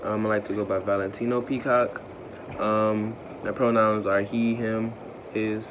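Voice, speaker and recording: A man in his twenties speaking in a soft, hesitant way in an interview, with many pauses. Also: lowish voice, little pitch movement, background noise. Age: 20s. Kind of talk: interview testimony.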